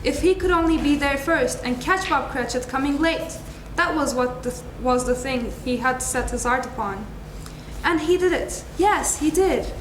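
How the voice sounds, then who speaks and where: High-voiced young woman narrating, echoey room. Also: normal speed. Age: young adult. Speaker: student narrator. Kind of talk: dramatic scripted narration of a story. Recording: echoey room with clear background noise.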